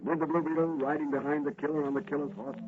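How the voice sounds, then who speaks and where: An actor narrating a radio serial in a dramatic, suspenseful 1940s style. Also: male voice, a little high, flat delivery, fast, close to an everyday voice. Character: melodramatic male radio serial announcer. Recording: noisy, tinny old radio recording.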